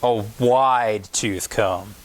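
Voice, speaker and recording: A man's voice: on the low side, extremely slow. A man voicing a self-conscious but hopeful young schoolgirl from a picture book. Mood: unsure. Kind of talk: picture book read-aloud.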